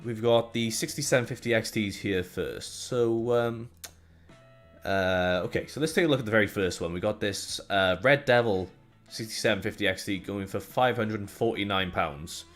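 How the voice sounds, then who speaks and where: A man's voice: lowish voice, normal speed, faint music behind. A young man from Wales with a light Welsh accent, co-hosting a casual tech podcast in a chatty, joking, rambling way. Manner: chatty, jokey, rambling with restarts. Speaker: co-host.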